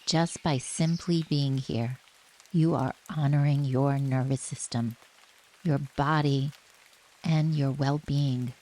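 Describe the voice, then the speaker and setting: A woman guiding a relaxation meditation in a gentle, soothing manner, speaking in short phrases with long pauses. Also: extremely low pitch. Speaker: yoga nidra guide. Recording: steady rain noise behind the voice. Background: rain ambience.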